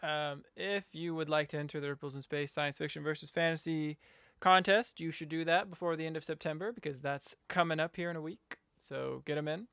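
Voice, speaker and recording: A man's voice: fairly high pitch, fairly even intonation, a little fast, vocal fry, a bit bright, clean tone, no echo, clean studio sound. A man co-hosting a fiction podcast, talking casually and hesitantly with frequent fillers. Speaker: podcast co-host. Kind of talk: casual chat.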